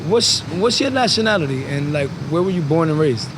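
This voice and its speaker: A man co-hosting a podcast, relaxed and joking with the guest. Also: a little high, fairly quick, noisy background. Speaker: podcast co-host. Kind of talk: casual podcast interview.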